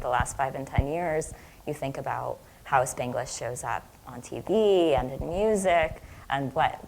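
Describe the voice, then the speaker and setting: A woman's voice: extremely low pitch, gravelly, a little hiss or hum, rough recording. A woman Spanish professor speaking on an academic panel, casual and personal, with frequent fillers.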